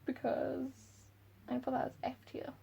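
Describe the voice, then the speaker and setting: A woman's voice: high-pitched, completely flat delivery, brisk, vocal fry, mellow, breathy edge. A young woman with a Welsh accent chatting to camera about books at home, giggly and self-deprecating. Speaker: book vlogger. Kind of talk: casual chat to camera. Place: room at home by a window.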